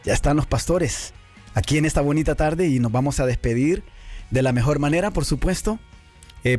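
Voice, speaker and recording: Effusive man hosting a radio show. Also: fairly high pitch. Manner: warm, effusive greetings with hesitant fillers. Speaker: radio host. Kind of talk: live radio interview. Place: radio studio. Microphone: studio microphone.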